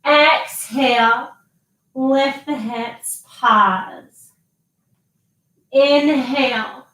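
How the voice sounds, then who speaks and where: A woman guiding a yoga class with slow, calm cues and long pauses between them. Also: high voice. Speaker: yoga teacher. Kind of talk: guided yoga instruction. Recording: very echoey, voice from a distance.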